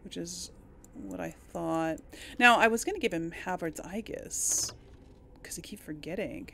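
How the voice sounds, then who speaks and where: A woman's voice: lowish voice, a little slow, vocal fry, bright, forceful tone, a little hiss or hum. A woman giving casual let's play commentary, chatting and thinking aloud to her viewers. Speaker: gaming video host.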